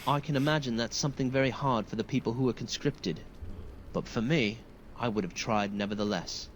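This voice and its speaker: An actor playing an earnest, courteous young knight in a fantasy video game, speaking formally and sincerely. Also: male voice, mid-range voice, a little fast, slight background noise, close to an everyday voice, low-quality recording. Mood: earnest, hopeful. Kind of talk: video game dialogue acting.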